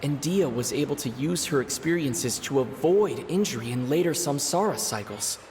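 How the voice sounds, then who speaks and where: A man playing a thoughtful young traveler hero in a video game, reasoning in a measured way. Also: mid-range voice, lively intonation, noisy background, lightly acted. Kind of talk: video game cutscene acting. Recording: long reverb, faint ambience.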